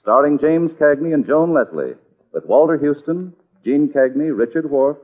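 Animated male announcer on old radio. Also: on the high side, moderate pace, slightly rough. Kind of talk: 1940s radio announcing, scripted and formal. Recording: narrow old radio broadcast, faint music.

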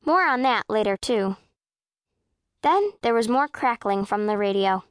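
Children's audiobook narrator: proud, composed girl of eleven, high voice, precise delivery, dry studio sound. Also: expressive, a little slow, clear, resonant tone, no room sound. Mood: composed, wry. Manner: precise, composed, matter-of-fact. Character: self-possessed, proud, scowling eleven-year-old girl narrator. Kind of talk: first-person audiobook narration of children's fiction.

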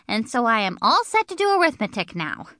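A woman voicing a cheeky, chatty little schoolgirl in an audiobook, with a chirpy, drawn-out delivery. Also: a little high, wildly swooping pitch, sharp tone, no room sound, clean studio sound, pitched above the performer's own voice, heavily acted. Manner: sassy, chirpy, drawn-out words. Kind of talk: audiobook character reading.

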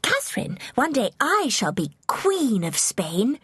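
A woman's voice: fairly high pitch, wildly swooping pitch, a bit bright, breathy edge, dry recording, voice pushed up, exaggerated voice. A woman voicing a young English princess, girlish, vivacious and impulsive, in an audiobook. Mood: wistful, curious. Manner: gushing, emphatic, rapid changes of feeling.

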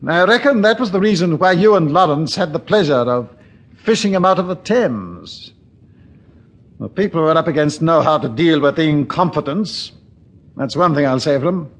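Irritable, animated British police chief. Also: male voice, on the high side, normal speed. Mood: grave. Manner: brusque, pompous, impatient. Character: irritable, authoritative senior Scotland Yard police chief. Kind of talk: 1940s British radio drama acting.

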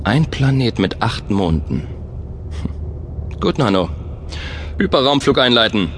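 A man playing a calm, capable spaceship captain in an audio drama, who speaks in a relaxed, matter-of-fact way. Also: mid-range voice, slow, a little hiss or hum. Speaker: spaceship pilot and first-person narrator. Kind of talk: audio drama acting and narration. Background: music.

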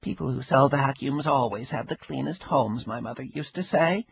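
A man voicing a frail, stubborn elderly grandmother with a sharp tongue, in a husky raised voice. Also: mid-range voice, normal speed, dry recording, pitched above the performer's own voice. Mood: tart. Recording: dull lo-fi recording.

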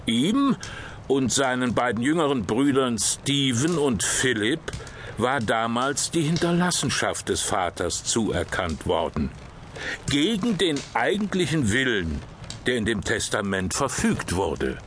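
Slow, measured official briefing. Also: male voice, mid-range voice, big pitch swings, a bit bright, a little hiss or hum. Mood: measured, explanatory. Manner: measured, explanatory, slightly hesitant. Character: official man soberly briefing a priest on a case. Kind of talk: German audio drama dialogue.

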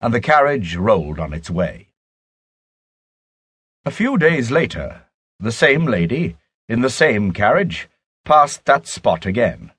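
A man with a husky voice reading a literary audiobook as a scripted narration. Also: medium pitch, very animated, takes it slowly, pauses often, a bit bright, no echo.

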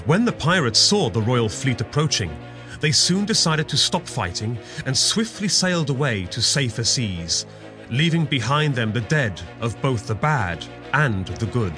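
A man's voice: mid-range voice, big pitch swings, measured pace, sharp tone. A man giving dramatic scripted narration for a children's audio adventure story. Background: music.

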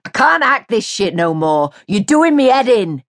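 A woman's voice: lowish voice, big pitch swings, a little slow, pitched above the performer's own voice. Curt young man, voiced by a woman narrator, storybook. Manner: curt, dismissive. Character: sullen young man walking out.

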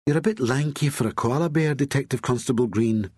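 A man's voice: medium pitch, huge pitch swings, unhurried, darkish tone, husky, no echo, studio quality, pitched above the performer's own voice, heavily acted. A man voicing a teasing, seductive woman doctor with a posh Glaswegian accent and a crooning, playful manner.

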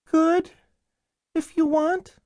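Thin, very high little boy. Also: flat delivery, very slow, darkish tone, no echo, clean studio sound, exaggerated voice. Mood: meek, weak. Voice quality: thin, tinny. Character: frail, starving little boy, meek and polite.

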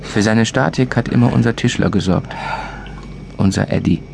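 Low, creaky deadpan village narrator. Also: male voice, normal speed, noisy background. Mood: wry, deadpan. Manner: deadpan, short list-like phrases. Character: village narrator, dry and wry, male. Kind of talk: scripted radio play narration. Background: loud music.

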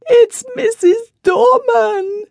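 A woman's voice: high-pitched, lively intonation, unhurried, dark tone, dry recording, clean studio sound, voice pushed up. A woman reading aloud and voicing a fretful young wife who is upset and complaining, in a plaintive tone. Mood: tearful. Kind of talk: storybook reading.

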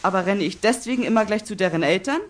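A woman's voice: medium pitch, quick pace, noisy background, lightly acted. A woman playing a wry, self-righteous schoolchild in a children's audiobook comedy, setting up jokes earnestly. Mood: indignant.

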